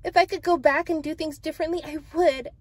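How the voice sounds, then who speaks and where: A woman's voice: high voice, steady tone, quick pace, no echo, pitched above the performer's own voice, natural voice. A woman voicing a tearful, self-absorbed young female pop idol in a dramatic book read-aloud, emotive and pleading. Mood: remorseful pleading.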